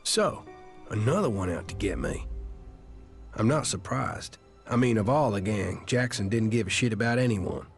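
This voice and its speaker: An actor playing a wary former gang outlaw in a video game, rueful, halting and fatalistic. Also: male voice, fairly low pitch, lively intonation, gravelly, soft background music. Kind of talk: video game character dialogue.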